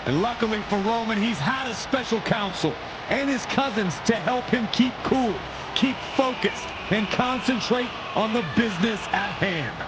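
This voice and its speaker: A man's voice: sits high, expressive, normal speed, very noisy. A man giving dramatic, emphatic sports commentary on professional wrestling. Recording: loud music behind. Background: loud music.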